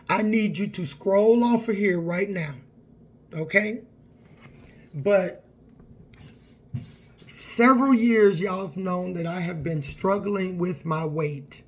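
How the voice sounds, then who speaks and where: A woman's voice: mid-range voice, slow pace, long pauses. A woman, talking candidly and earnestly to her viewers in a personal vlog. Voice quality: raspy. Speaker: vlogger.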